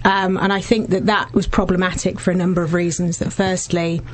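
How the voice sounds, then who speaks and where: A woman in her forties with a husky voice, a business founder talking warmly and earnestly as a guest in a radio studio interview. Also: lowish voice, flat delivery, a little fast, a little hiss or hum, rough recording. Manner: warm, effusive, speaks in long earnest runs with restarts.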